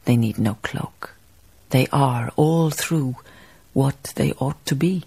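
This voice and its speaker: A reflective woman memoirist narrates a radio play. Her voice is deep for a woman and husky, with a gravelly creak. She speaks lyrically and deliberately, lingering on poetic phrases. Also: warm tone, heavily acted. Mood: contemplative. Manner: measured, lyrical, savouring phrases. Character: reflective, poetic woman memoirist recalling colonial farm life.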